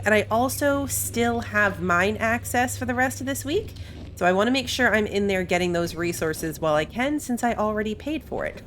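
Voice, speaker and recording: A woman's voice: on the high side, fairly bright tone, slight background noise. A woman hosting a gaming video with casual, chatty commentary, thinking aloud as she plays. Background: faint game music.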